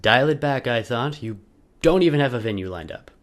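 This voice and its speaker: A man's voice: medium pitch, animated, fairly quick. A man speaking in a wry, self-deprecating way with joking asides, as in a personal video made by its creator. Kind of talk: casual vlog intro, then loose dramatic reading of a personal letter.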